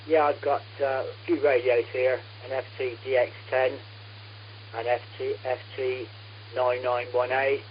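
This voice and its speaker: A man's voice: medium pitch, a little hiss or hum. Man on a muffled, humming radio link. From England. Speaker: amateur radio operator. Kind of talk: casual radio contact chat. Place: radio transmission.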